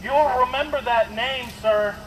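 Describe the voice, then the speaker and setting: A man's voice: extremely high pitch, a little slow, fairly bright tone. A man giving an impassioned, confrontational protest speech through a bullhorn, addressing an official as sir. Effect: bullhorn amplification. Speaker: protester, activist. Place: outdoors on a street outside a government mansion. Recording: echoing bullhorn, noisy outdoor street recording.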